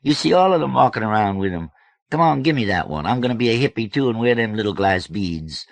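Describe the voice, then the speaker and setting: Man doing a boisterous folksy fellow, pitched way up. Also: expressive, quick pace, gravelly, no echo. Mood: boisterous, teasing. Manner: loud, slangy, drawling, emphatic. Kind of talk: audiobook character voice.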